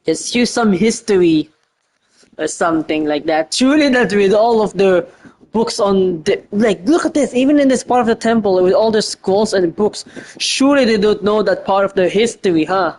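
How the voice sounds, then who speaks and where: A woman's voice: medium pitch, normal speed, darkish tone. A woman giving casual playthrough commentary on a video game, thinking aloud in a rambling, hesitant way.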